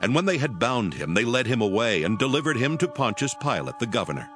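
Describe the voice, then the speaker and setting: A man narrating scripture in a steady, formal reading style. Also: mid-range voice, fast talker. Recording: narration over music.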